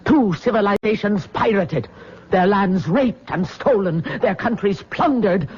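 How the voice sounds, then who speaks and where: An actress playing an imposing, angry middle-aged Polynesian queen and mother in a grand, vehement old-time radio drama style, with a husky voice. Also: medium pitch, expressive, a little slow, a little mellow. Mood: furious, bitter. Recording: dull lo-fi vintage radio recording.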